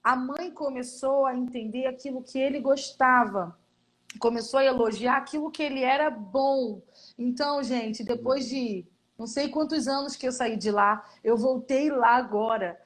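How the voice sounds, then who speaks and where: A Brazilian woman with a fairly high voice speaks earnestly about her missionary work. She tells her stories with animation and slips into quoted voices as she goes. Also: normal speed. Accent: Brazilian Portuguese. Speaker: missionary guest. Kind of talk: casual testimony in a live stream.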